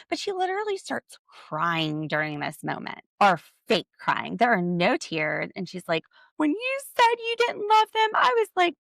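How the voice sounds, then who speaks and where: A woman's voice: fairly low pitch, creaky, fairly bright tone, no room sound. A woman hosting a solo podcast, recapping a reality TV episode with sarcastic, exasperated commentary. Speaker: podcast host.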